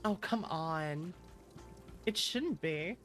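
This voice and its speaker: A woman streamer talks casually to her chat in a slightly low voice. Her pace is very leisurely, and her manner is playful and teasing. Faint music plays behind her. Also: animated, very slow, fairly bright tone. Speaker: streamer, virtual YouTuber. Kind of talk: casual livestream chat with viewers. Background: background music.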